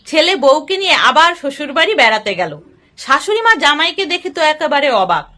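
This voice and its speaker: High, bright-voiced woman storyteller. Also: big pitch swings, fairly quick. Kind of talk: scripted moral story narration in character dialogue.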